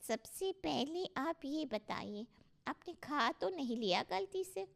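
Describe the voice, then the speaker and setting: A woman's voice: high voice, expressive, slow pace, gravelly, pitched above the performer's own voice, full character voice. A woman voicing a gossipy neighbourhood housewife in a cartoon story, confiding and grumbling.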